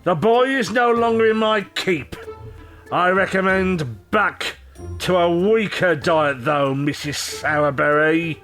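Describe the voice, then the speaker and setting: An actor playing a pompous, stern workhouse beadle in an audio drama, booming and emphatic. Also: male voice, takes it slowly, a bit bright, faint music behind. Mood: pompous, grave.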